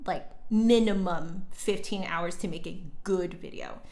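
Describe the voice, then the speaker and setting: A woman's voice: on the low side, measured pace, a little mellow. A woman livestreamer and online teacher chatting casually with viewers in a candid, informal way.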